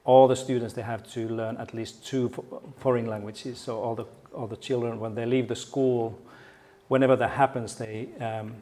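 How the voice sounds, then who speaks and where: A man's voice: medium pitch, level tone, normal speed, mellow. Finnish guy doing a keynote at a conference, middle-aged, chatty and jokey, restarts his sentences a lot. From Finland. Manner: friendly, joking with the audience, many restarts. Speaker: keynote speaker. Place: conference hall.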